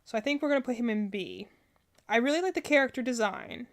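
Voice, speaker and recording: A woman's voice: fairly high pitch, expressive, fast. A woman streamer chatting casually and thinking aloud as she gives rambling, opinionated reactions. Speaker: streamer reacting to game character trailers.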